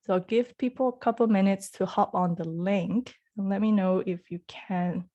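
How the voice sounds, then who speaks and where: A woman's voice: medium pitch, fairly even intonation, normal speed, warm tone, dull audio. A woman from Hong Kong hosting an online group session over a video call, chatty and friendly. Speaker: host.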